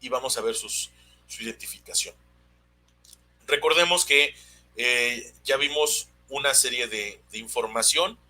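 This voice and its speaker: A man's voice: medium pitch, measured pace, bright, forceful tone. A man with a Mexican accent explaining technical material as a webinar instructor, unscripted, hesitant and often searching for words. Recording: noisy webinar audio with hum.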